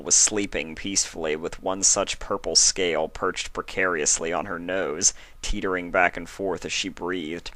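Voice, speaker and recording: Male story reader: lowish pitch, scripted narration, close dry sound. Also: a bit bright, no room sound.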